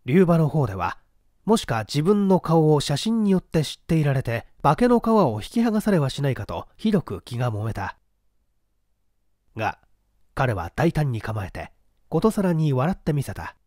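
A man's voice: a little high, big pitch swings, moderate pace, a little mellow, no echo, studio quality. A man reading a novel aloud as a dramatic scripted narration. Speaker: audiobook narrator.

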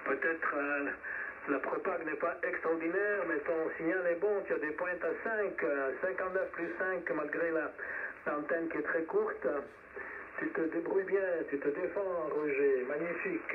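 A man running an amateur radio net over shortwave, speaking in short, encouraging phrases with pauses. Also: on the high side, far from the mic. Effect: shortwave radio transmission. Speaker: net controller of an amateur radio round table. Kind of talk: ham radio net hosting. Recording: narrow, noisy shortwave radio reception. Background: radio static.

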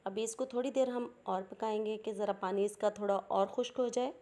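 Cooking host, woman, Pakistani Urdu accent, patient and plain, warm tone. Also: medium pitch, fairly even intonation, a little fast. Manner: patient, explains each step plainly. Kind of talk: step-by-step cooking instructions.

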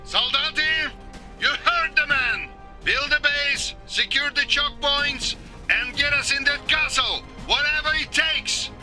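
An actor playing a commanding, ruthless military colonel in a video game, with a Russian accent. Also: male voice, very high voice, slow pace, pushed and bright, soft background music, heavily acted. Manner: barking, commanding.

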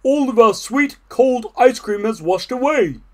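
A storybook reader voicing an excitable, earnest male toad who talks in loud, emphatic exclamations. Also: mid-range voice, unhurried, voice pushed up, lightly acted. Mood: upset, exclaiming.

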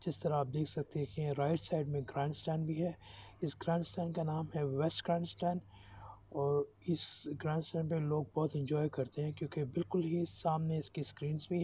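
A man's voice: fairly high pitch, moderate pace. A man in his thirties giving casual, informal vlog commentary, mixing English terms into his talk. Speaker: vlogger. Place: inside a car and outdoors cycling on a track. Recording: steady vehicle and outdoor background noise.

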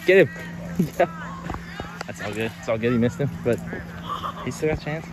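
A man filming a camp game on an open field, giving chatty, excited running commentary. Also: mid-range voice, normal speed, very noisy. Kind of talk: casual play-by-play commentary. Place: open grassy field outdoors. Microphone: phone camera held by the filmer.

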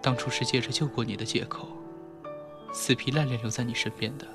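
A man acting a heartbroken, weary, gentle young man in a Mandarin audio drama, restrained and wistful. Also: medium pitch, steady tone, fairly quick, natural voice. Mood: sad resignation. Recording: music behind the voice.

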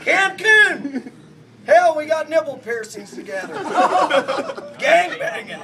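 Middle-aged man, loud roast-style toast, high strained voice, slow, distant phone over party crowd. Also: lively intonation. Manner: rowdy, shouting over the crowd, laughing through lines. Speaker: wedding toast giver. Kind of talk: comic roast-style toast read from cards. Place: indoor party venue, crowded. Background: party crowd chatter and laughter.

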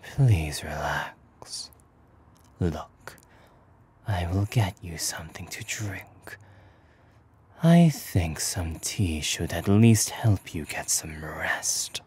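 A man voicing a menacing, possessive demon in a breathy ASMR roleplay whisper, coolly polite and formal. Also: low-pitched, a little mellow, full character voice. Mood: coldly soothing. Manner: slow, formal, deliberate.

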